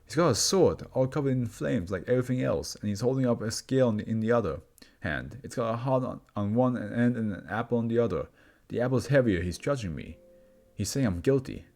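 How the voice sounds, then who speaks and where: A man's voice: medium pitch, lively intonation, fairly quick, a little mellow, close to an everyday voice. A man voicing a volatile condemned prisoner who is coming unravelled, speaking with agitated intensity in a story reading. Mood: distressed.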